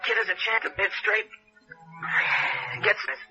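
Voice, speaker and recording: Dying young American convict, sharp strained high-ish voice, halting and remorseful, old radio drama, muffled recording. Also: male voice, little pitch movement, exaggerated voice. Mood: remorseful, grateful. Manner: halting, rough uneducated speech, trailing and repeating. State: weak, failing, gasping. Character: dying convict safecracker in early thirties, weak and remorseful. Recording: dull old radio recording, faint music.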